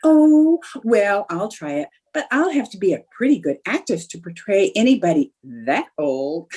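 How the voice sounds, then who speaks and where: A vain, scatterbrained housewife, played by a woman, reacts in broad 1940s radio-comedy style. Her voice is husky and mellow, swooping up and down as she plays the eager amateur actress. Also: medium pitch, very animated, moderate pace. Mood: eager, indignant. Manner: comic, exaggerated reactions.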